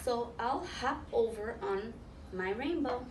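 A woman in her thirties reading a picture book aloud to young children, pausing to explain and ask them questions. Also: fairly high pitch. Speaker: volunteer children's story reader. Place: small classroom, indoors, seated on floor. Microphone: camera a few metres away. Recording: roomy, distant mic, noticeable background noise.

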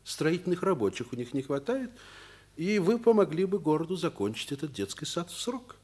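An actor playing a fatherly, earnest middle-aged school director in a Soviet radio play, explaining in a measured, persuasive way. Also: on the high side, sing-song, darkish tone.

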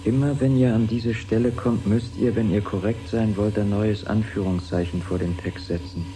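An actor as a sober low-voiced male scientist. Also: fairly even intonation, warm tone, lightly acted, poor audio. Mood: solemn. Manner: measured, precise, factual. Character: male research scientist, sober, precise, measured. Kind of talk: radio play acting.

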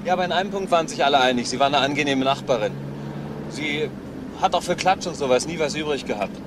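A man acting an earnest junior detective in a crime radio play. His voice sits fairly high for a man, and he reports in a conversational, halting way over clear background noise. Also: lightly acted.

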